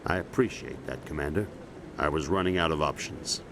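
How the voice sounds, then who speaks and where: An actor playing a gruff, plain-spoken middle-aged admiral in a video game. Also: male voice, rumbling low, brisk, background noise. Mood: frustrated.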